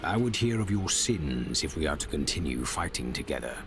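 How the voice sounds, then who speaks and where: An actor playing a grave, commanding dark fantasy hero in a video game, gravelly and formal. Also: male voice, a bass voice, big pitch swings, brisk, music in the background. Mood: stern questioning. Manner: stern, formal. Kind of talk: video game character dialogue.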